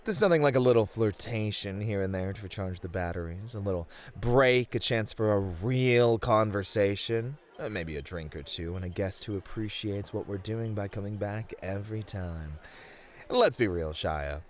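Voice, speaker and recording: A man's voice: on the low side, normal speed, lightly acted. A man playing a flirty, caring bunny-club host in an ASMR roleplay, with a teasing, earnest manner.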